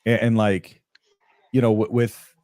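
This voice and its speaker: A man in his thirties co-hosting a casual sports chat, with a deep, even-keeled radio voice and a calm, steady way of talking. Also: a little slow, no room sound. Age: 30s. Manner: calm and steady, little expression. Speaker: co-host of a sports show.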